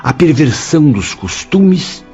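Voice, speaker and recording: An actor playing a grave, compassionate storyteller, narrating a radio drama in a dramatic, formal way. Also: male voice, a little high, sing-song, takes it slowly, mellow, exaggerated voice. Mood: grave, appalled. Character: male spirit storyteller, grave, shocked, compassionate. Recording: dull studio track, music behind.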